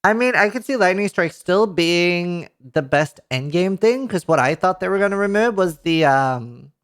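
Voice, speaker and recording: A man in his thirties with a Norwegian accent reads patch notes aloud to a live chat, reacting with excited outbursts and casual swearing, into a headset mic. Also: sits high, normal speed, clean tone. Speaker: streamer. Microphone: headset mic at a desk.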